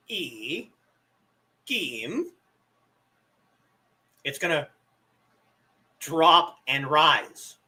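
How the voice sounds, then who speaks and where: Bright-voiced man with a lingering cough. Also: fairly high pitch. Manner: relaxed, chatty, answers chat questions. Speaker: live stream host teaching language tones. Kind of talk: casual explanatory live stream.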